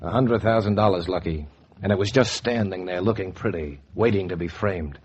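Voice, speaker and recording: Casting: man to play broke, hopeful painter in radio noir, very low voice, wry and weary, on a noisy old recording. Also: moderate pace, a little mellow, lo-fi sound, close to an everyday voice. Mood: wry, ominous. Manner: wry, hard-boiled storytelling. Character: down-on-his-luck male painter, world-weary, hopeful, noir narrator. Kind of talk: 1940s radio noir acting and narration.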